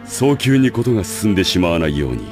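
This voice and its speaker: A man playing a calm, scheming school director, measured and formal, in an anime audio drama. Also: low-pitched, music in the background. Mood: calm, calculating. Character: calm, calculating male school director with secret plans.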